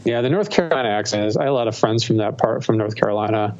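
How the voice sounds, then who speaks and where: A man's voice: lowish voice, very fast, darkish tone. Rambling American man on muffled audio. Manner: rambling, profane. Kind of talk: casual chat. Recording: muffled lo-fi audio with clear noise.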